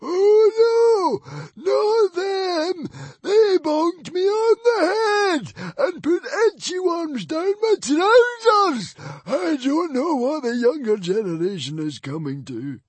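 A man's voice: sits high, expressive, slow pace, darkish tone, husky, dry recording, voice pushed up, full character voice. A man voicing a hissing, whiny male librarian villain in an audiobook reading. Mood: wailing.

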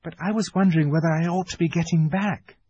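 A man's voice: fairly high pitch, steady tone, fast, dark tone, slightly rough, dull audio, pitched above the performer's own voice. A man voicing a polite, innocent and puzzled young girl in a storybook, speaking simply and hesitantly.

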